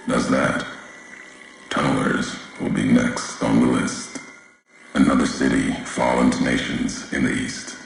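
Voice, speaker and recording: A super deep brooding wasteland wanderer for a game, slow and solemn, really echoey. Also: male voice, a bit bright, exaggerated voice. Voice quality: gravelly. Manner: solemn, cryptic, clipped sentences. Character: grave, brooding male wasteland wanderer, bitter about history. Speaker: video game character. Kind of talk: dramatic scripted game dialogue. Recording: heavy echo, faint background noise.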